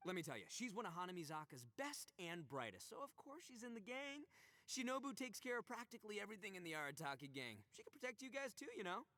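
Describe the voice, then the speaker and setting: A man's voice: sits high, sing-song, fast, bright, forceful tone, no echo, exaggerated voice. A man playing a boastful, boisterous, goofy young gang boss in an anime video game, with theatrical, blustering delivery. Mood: proud, bragging.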